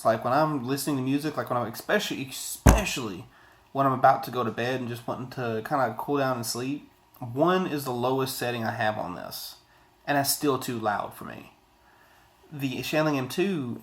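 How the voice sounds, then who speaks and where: An American man in his thirties with a mid-pitched voice talks through an audio gear review. He is conversational and loose, doubling back on words to stress a point. He sounds like he is at a desk in a small office, speaking to a camera. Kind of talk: casual video product review. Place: small office room indoors.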